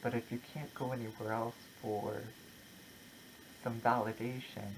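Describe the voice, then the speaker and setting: A content creator talking casually and personally, in a halting, reflective way with short broken phrases. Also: medium pitch, level tone, slight background noise, poor audio. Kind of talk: casual personal vlog, opinion talk to camera.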